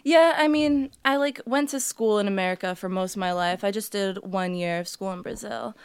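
Smooth young woman, casual and relaxed. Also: medium pitch, moderate pace, no room sound. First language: Portuguese. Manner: relaxed, many "like" fillers. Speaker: guest artist. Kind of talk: casual interview chat.

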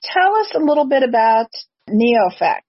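A woman with a husky voice presents as a podcast host, in the manner of prepared introductions and interview questions. The recording is dry and close, with a slightly dull, lo-fi sound. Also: a little high, big pitch swings, moderate pace, dry recording. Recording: dull, lo-fi, slightly muffled audio.

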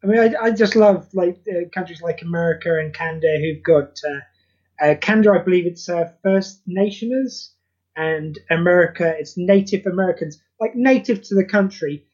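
High-voiced sarcastic man on a call. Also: normal speed, a little mellow. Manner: dry, sarcastic, teasing. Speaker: podcast co-host. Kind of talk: casual chat. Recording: dull lo-fi call audio.

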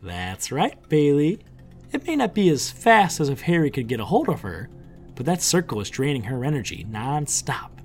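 A man's voice: medium pitch, wildly swooping pitch, a little fast, few pauses, darkish tone, faint music behind. A man voicing a wicked woman spellcaster in an audiobook, with a taunting, menacing, emphatic delivery.

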